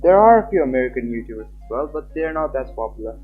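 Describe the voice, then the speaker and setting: A man live-streaming a game, reading aloud and chatting, halting at times and stumbling over his words. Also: medium pitch, big pitch swings, phone-quality sound. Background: game music.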